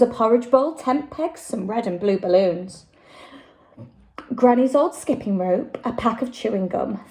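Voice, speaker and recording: A woman's voice: on the high side, gravelly, a little mellow. A woman, a nursery teacher, reading a picture book aloud to young children in a sing-song, theatrical way.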